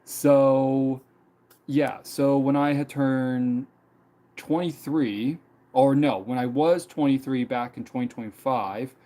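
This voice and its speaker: Young man, mid-twenties, mellow relaxed tone, loose rambling live-stream chatter. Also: mid-range voice, slow pace. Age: 20s. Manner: rambling, many fillers and repeats. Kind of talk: casual personal storytelling.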